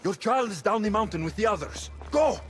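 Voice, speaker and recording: A man's voice: high voice, expressive, brisk. An actor playing a confident, wry Greek mercenary man in a cinematic video game. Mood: urgent.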